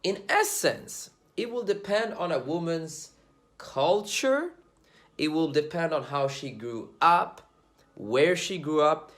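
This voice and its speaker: Animated blunt man ranting to camera. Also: fairly high pitch. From Sweden. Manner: blunt, animated, slangy, swears. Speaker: dating commentator vlogger. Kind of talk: casual monologue to camera.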